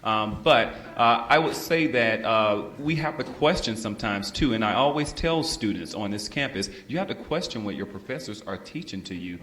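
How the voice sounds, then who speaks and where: A man from Montgomery, Alabama with a Southern accent, speaking on a panel in a measured way with many "um" and "uh" fillers. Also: on the low side, animated, a bit bright, roomy sound. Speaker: panelist, works with students. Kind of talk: panel discussion. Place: large room with audience.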